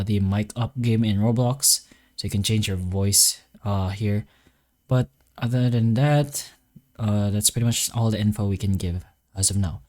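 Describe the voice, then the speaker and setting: A man's voice: mid-range voice, a little mellow. A man narrates a how-to tutorial in a mid-range voice. His explanation sounds partly improvised, with loose, wordy phrasing. He is a little hesitant as he works through each point. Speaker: tutorial host.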